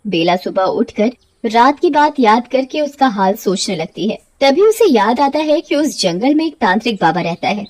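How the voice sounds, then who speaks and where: A woman's voice: fairly high pitch, big pitch swings, normal speed, darkish tone. A woman narrating a scripted cartoon story as its storyteller.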